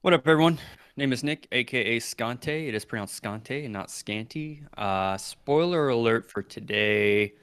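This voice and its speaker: A man's voice: mid-range voice, measured pace, a bit bright, clear, resonant tone, no echo. A man co-hosting a casual podcast chat, easygoing and curious. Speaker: podcast co-host.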